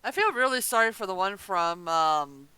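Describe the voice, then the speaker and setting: A woman with a bright, clear voice that swings in pitch. She chats casually and gives her opinions, close and dry on the mic. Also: animated, normal speed, dry recording. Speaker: podcast co-host.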